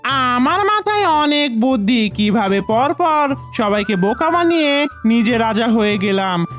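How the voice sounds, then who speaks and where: A sly cartoon fox, acted by a woman, high and very animated. The delivery is wheedling, theatrical and boastful, taken at an unhurried pace. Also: faint music behind. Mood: gloating. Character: sly, scheming, flattering fox. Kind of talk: cartoon fable voice acting.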